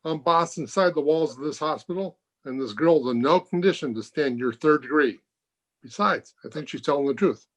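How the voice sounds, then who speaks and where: Man acting a firm doctor, slightly high voice. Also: moderate pace, a bit bright. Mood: firm, defiant. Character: authoritative, open-minded hospital doctor, thoughtful and firm. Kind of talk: old-time radio mystery acting. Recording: home video-call recording.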